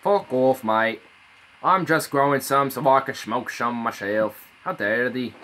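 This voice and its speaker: A man's voice: medium pitch, measured pace, bright, forceful tone. A man streaming casually, rambling and swearing as he reads aloud. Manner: rambling, laid-back, swears often. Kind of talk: casual live-stream chat. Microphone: phone.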